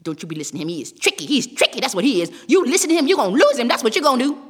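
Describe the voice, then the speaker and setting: A woman's voice: mid-range voice, very animated, racing, a bit bright, slightly rough. A woman voicing a cross, bossy, hungry male fox in a folk tale, scolding insistently in a folksy American Southern accent. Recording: long hall reverb.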